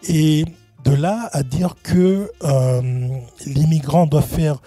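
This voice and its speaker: Hesitant man with a dark, husky voice. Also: a little high, slow pace, no echo, soft background music. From Benin, raised in Gabon. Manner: hesitant, many fillers and restarts. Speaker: guest, entrepreneurship consultant. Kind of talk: interview answer.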